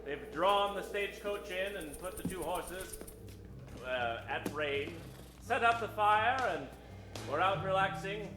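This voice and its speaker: High-pitched man narrating old-time radio. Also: animated, unhurried. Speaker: narrator and announcer. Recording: echoey stage, music behind.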